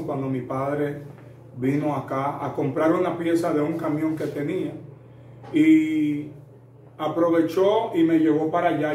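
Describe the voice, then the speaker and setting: A man's voice: a little high, dark tone. A man in his thirties from the Dominican Republic with a Dominican Spanish accent, a preacher telling his story earnestly as an interview guest, addressing the listener formally and using many fillers. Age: 30s. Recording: roomy echo, distant mic, faint noise.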